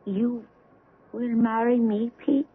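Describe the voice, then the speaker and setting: A woman playing a devoted, superstitious young island woman in a radio drama, speaking formally and deliberately. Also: fairly high pitch, flat delivery, slightly rough. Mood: tender. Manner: slow, deliberate, formal phrasing. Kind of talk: 1970s radio drama acting. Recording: tinny vintage radio with background noise.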